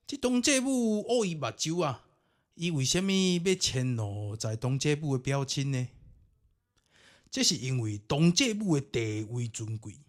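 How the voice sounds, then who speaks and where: A man with a Taiwanese accent narrating ghost stories and commenting on them in a theatrical, colloquial way. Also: fairly high pitch. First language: Taiwanese Hokkien. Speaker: podcast host and storyteller.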